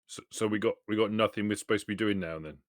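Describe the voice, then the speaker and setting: Guy playing a tabletop rpg, lowish voice, casual improvised chat, dry audio. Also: fast talker, dry recording. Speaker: tabletop roleplaying player.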